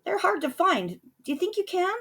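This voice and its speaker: A woman voicing a spoiled, demanding young heroine in a storybook, with a shrill, whiny and insistent manner. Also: very animated, moderate pace, fairly bright tone, heavily acted. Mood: dismayed.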